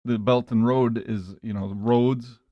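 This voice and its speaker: A man's voice: mid-range voice, steady tone, takes it slowly, no echo. A man in casual live discussion on a talk show, heard through a dull, lo-fi connection. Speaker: talk show co-host or guest.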